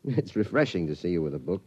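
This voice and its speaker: Casting: genial middle-aged father, dark relaxed voice, animated melody, old radio drama recording. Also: low voice, brisk, slightly rough, full character voice. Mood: amused, musing. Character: genial, easygoing small-town father. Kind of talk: 1950s radio drama acting. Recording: muffled, dry vintage radio recording.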